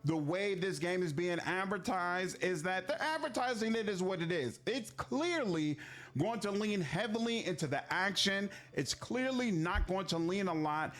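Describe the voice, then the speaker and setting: Indignant high-voiced man ranting. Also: animated, normal speed, a bit bright. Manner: indignant, emphatic repeating. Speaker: gaming commentator in a played clip. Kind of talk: video commentary.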